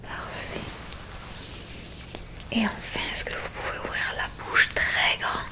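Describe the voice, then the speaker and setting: Female ASMR examiner: breathy whisper, unhurried delivery, gentle and reassuring, close-miked. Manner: soothing, gentle, reassuring instructions. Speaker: ASMR creator playing an examiner in a roleplay. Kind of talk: whispered ASMR medical exam roleplay. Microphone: close ASMR microphone.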